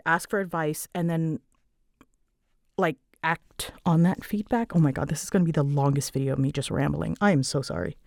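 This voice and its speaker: A woman's voice: sits low, no echo. A woman chatting casually in a rambling, self-deprecating way as an online creator answering listener questions, close to the mic. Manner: chatty, self-deprecating, animated when quoting herself.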